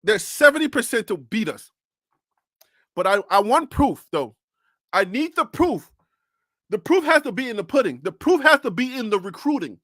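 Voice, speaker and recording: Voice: medium pitch, sing-song, normal speed, long pauses, fairly bright tone, no echo. A sports show host from North Carolina ranting on a live stream. The host fires incredulous rhetorical questions and laughs often.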